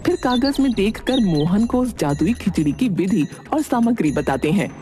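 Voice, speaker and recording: Woman narrating a story over loud music. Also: mid-range voice, big pitch swings, normal speed.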